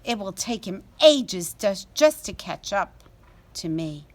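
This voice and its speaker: A woman's voice: medium pitch, very animated, takes it slowly. A woman voicing a boastful, overconfident male hare in a children's storybook, with a smug, breezy manner.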